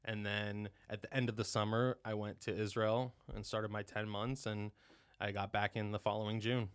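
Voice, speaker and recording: A man in his twenties from Ohio, a podcast guest chatting casually, rambling with lots of fillers and quick laughs. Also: lowish voice, level tone, smooth. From Ohio, USA. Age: 20s. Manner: rambling, heavy on "you know" and "um", laughs often. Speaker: podcast guest, dental student. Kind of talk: casual interview chat.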